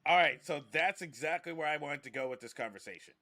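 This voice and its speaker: A man's voice: fairly high pitch, animated, fast, sharp tone, no echo. A man hosting a podcast in casual, conversational style. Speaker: podcast host.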